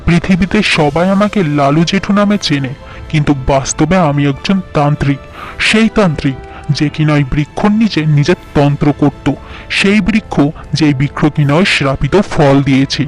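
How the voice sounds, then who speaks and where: A man's voice: on the high side, normal speed, faint music behind, close to an everyday voice. A man voicing a scheming, boastful villainous sorcerer in a fairy-tale cartoon, speaking with menace. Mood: gloating.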